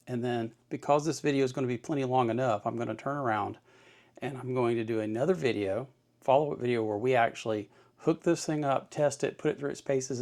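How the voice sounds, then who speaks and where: A man's voice: mid-range voice, vocal fry, darkish tone. A man giving an informal hands-on tutorial as host, in a folksy, reassuring way, repeating his points plainly. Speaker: host of an electronics kit-building tutorial.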